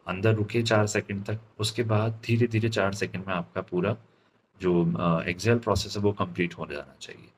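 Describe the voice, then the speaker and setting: A man with an Indian accent and a fairly low voice teaches like a public speaking coach. He moves in deliberate, halting phrases and often checks in with short tag questions. There is faint noise behind him. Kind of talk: instructional lecture on voice modulation.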